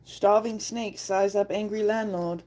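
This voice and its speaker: A woman's voice: medium pitch, fairly even intonation, measured pace, warm tone. A woman hosting a casual livestream, playful, often laughing and reading chat aloud. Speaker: livestream host.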